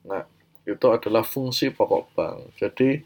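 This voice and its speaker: Low-voiced Indonesian man with a cold. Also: moderate pace, darkish tone. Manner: explanatory, checks in with short tags. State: congested with a mild cold. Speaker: presenter of an educational banking channel. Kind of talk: informal slide lecture.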